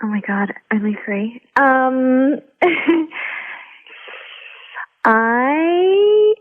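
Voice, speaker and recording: A woman being interviewed, chatting informally and pausing to think as she answers. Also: high voice. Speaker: interview guest, actor and writer. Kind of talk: casual chat. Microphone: telephone. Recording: tinny, narrow phone line.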